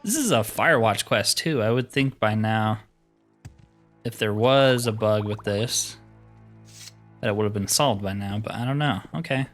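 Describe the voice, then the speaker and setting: A man's voice: on the low side, moderate pace, a bit bright. Man casually narrating his game, music behind. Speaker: gaming video host. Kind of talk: casual game playthrough commentary.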